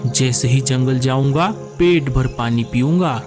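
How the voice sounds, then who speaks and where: A man's voice: mid-range voice, unhurried, music in the background, natural voice. A man voicing an earnest, fretful male forest bird in a cartoon, exclaiming and thinking aloud. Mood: relieved. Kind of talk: Hindi cartoon dialogue acting.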